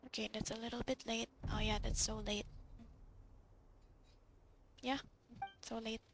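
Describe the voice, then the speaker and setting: A woman's voice: on the high side, level tone, a little slow, background noise. A woman gamer reacting casually as she plays. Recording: loud game music over voice.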